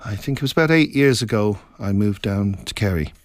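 A middle-aged man from Scotland, an author being interviewed, talking in a reflective, meandering way with halting restarts. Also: fairly low pitch, lively intonation, no room sound, studio quality. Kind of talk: casual interview chat.

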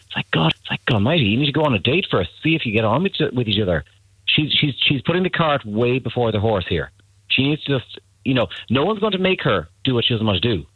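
A man's voice: medium pitch, sing-song, quick pace, breathy edge, dry recording. A man giving blunt, emphatic dating advice on a radio call-in, often repeating himself. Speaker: matchmaking agency guest. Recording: tinny phone line, dry.

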